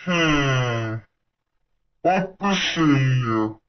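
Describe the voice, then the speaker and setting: A man voicing a repairman in amateur cartoon dialogue, speaking haltingly, phrase by phrase. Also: mid-range voice, animated. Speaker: repairman character in homemade cartoon. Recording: dull lo-fi recording.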